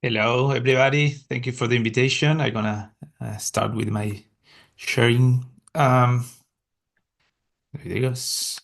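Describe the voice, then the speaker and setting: A man's voice: mid-range voice, fairly even intonation, a little slow, vocal fry, pauses often. A man with an Argentine Spanish accent, giving a webinar talk over a video call in English, his second language, with many fillers and restarts. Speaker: guest speaker, conservation scientist. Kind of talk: webinar presentation. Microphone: computer mic on a video call.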